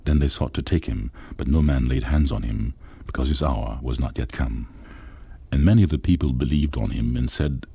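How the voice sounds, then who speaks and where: A man with a gravelly voice reading scripture aloud in a steady, grave way. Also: a bass voice, no room sound. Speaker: Bible reader.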